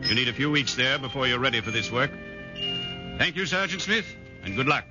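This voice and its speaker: A man playing a grave, courteous British commanding officer in a 1940s radio drama, formal and deliberate. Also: medium pitch, expressive, natural voice. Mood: grave, official. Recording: dull old radio recording, loud music.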